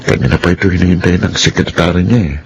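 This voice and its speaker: A loyal, humble employee in a radio drama. His voice is low and earnest, thinking aloud with anxious concern over clear background noise. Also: steady tone, fast. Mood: musing, concerned. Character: loyal, kind-hearted male employee of modest means, anxious. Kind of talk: radio drama acting with spoken inner monologue.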